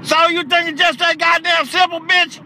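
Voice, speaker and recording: Casting: cranky old uncle, piercing high holler, profane and agitated, prank-call comedy, some car noise behind. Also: male voice, flat delivery, normal speed, full character voice. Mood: furious. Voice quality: high, strained, loud holler. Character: irate foul-mouthed old nursing home worker called Uncle Buddy.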